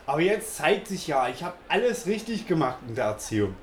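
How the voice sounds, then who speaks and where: A man's voice: fairly high pitch, sing-song, brisk, roomy sound. A man playing a self-important, eager, strict and boastful father in a comedic radio play. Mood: proud. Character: boastful strict father, proud chicken-wing dispatch boss.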